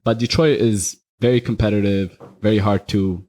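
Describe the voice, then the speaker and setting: A man's voice: on the low side, steady tone, moderate pace, warm tone. A young man from Iraq, talking candidly and rambling a little as a podcast guest. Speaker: guest, party promoter. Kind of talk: casual podcast chat.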